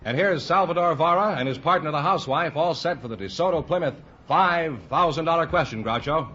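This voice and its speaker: A man's voice: on the high side, fairly quick. A man with an American accent reading scripted sponsor announcements on 1950s radio, in a polished salesman's delivery. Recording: dull vintage radio broadcast, some music.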